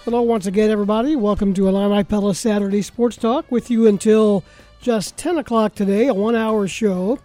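A man's voice: high-pitched, steady tone, a little fast, dark tone, no echo, faint music behind. A man with an American accent hosting a sports talk show on the radio in a casual, conversational style. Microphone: close studio broadcast mic.

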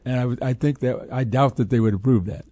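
An older man co-hosting a sports talk radio show, asking questions haltingly and repeating words as he forms them. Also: medium pitch, dark tone, dry recording, studio quality. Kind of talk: conversational radio interview questioning.

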